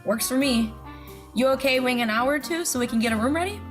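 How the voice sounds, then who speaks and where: Woman as a wry, higher-voiced hotel owner. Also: quick pace, lightly acted. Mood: amused, casual. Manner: casual, wry, plainspoken. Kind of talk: scripted audio drama acting. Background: music.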